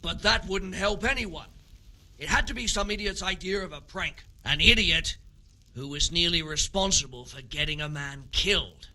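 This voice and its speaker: A man's voice: a little high, normal speed, bright, forceful tone. An actor playing a practical, sceptical medieval building foreman in a video game. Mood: exasperated. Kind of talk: video game drama acting.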